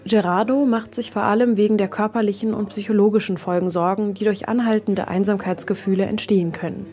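Female reportage narrator, mid-pitched relaxed voice, reading a prepared feature script. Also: a little fast.

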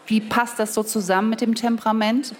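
A woman interviewing a writer, asking direct, measured questions. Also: a little high, level tone.

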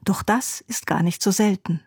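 Husky woman narrating a radio feature. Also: mid-range voice, normal speed, dry recording, studio quality. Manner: even, informative delivery. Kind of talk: scripted narration.

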